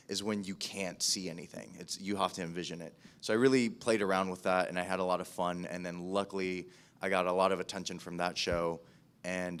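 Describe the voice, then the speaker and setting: A man's voice: low-pitched, fairly bright tone, clear, resonant tone. An American man around his thirties, a podcast producer telling his career story in an informal, self-deprecating way at a panel.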